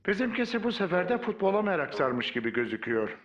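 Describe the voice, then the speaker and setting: A man's voice: high-pitched, sing-song, fast talker, muffled recording, very noisy. A man voicing a kindly, encouraging, wise old tree who mentors children in a cartoon. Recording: music behind the voice.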